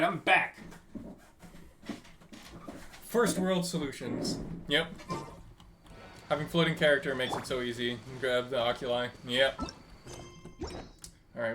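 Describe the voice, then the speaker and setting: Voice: mid-range voice, background noise. A game streamer giving casual live commentary and chattily talking back to the characters. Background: game music.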